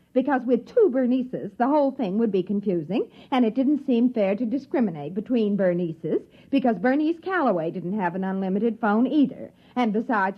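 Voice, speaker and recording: Prim pedantic secretary, officious, nitpicking, high voice, muffled vintage radio comedy. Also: female voice. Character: prim, pedantic secretary of a women's sewing club. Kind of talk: 1950s radio comedy acting. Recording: muffled old radio recording.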